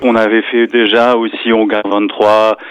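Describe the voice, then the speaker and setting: A man's voice: lowish voice, flat delivery, normal speed, smooth, dry recording. Chatty middle-aged man, dull radio sound. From Nancy, eastern France. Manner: informal, chatty, tags sentences with checks to the listener. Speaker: guest, music venue programmer. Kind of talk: casual radio interview chat. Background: music.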